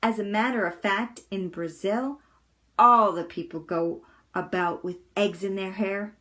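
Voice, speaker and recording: Lady reading as a high-voiced bouncy little girl from a storybook, bold n braggy, takes her time, super playful. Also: a bit bright. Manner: playful, emphatic, matter-of-fact tall-tale telling. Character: cheerful, bold, boastful, eccentric young girl in storybook.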